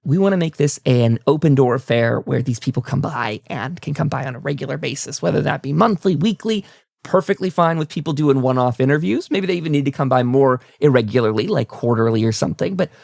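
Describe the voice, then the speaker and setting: A man's voice: mid-range voice, brisk, gravelly, a little mellow, dry recording, studio quality. A man hosting a talk show, chatting in a casual, rambling podcast style as he talks to his audience. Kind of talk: casual podcast outro, plugging websites and upcoming episodes.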